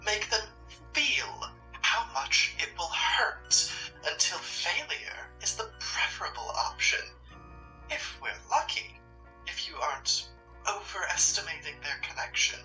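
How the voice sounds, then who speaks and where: A woman playing a cold, scheming supernatural curator, haughty, condescending and deliberate in a sardonic, theatrical way. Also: medium pitch, lively intonation, pushed and bright, recorded from a distance, faint music behind, low-quality recording. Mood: smug, calculating. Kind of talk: dramatic roleplay acting.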